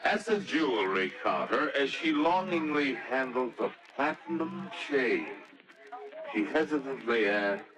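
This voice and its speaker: A man narrating a scripted story in a theatrical, deliberate old-time radio style. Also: mid-range voice, expressive, over music, rough recording.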